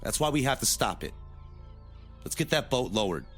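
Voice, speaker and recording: A man acting in an audio drama as a brisk, exasperated take-charge guy fixing a boat. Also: medium pitch, big pitch swings, over music, lightly acted. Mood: grave, urgent.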